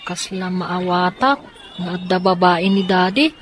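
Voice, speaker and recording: A woman's voice: on the low side, a little slow, a little hiss or hum, lightly acted. A woman playing a determined, scheming young daughter in a radio drama, speaking earnestly and insistently. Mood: troubled.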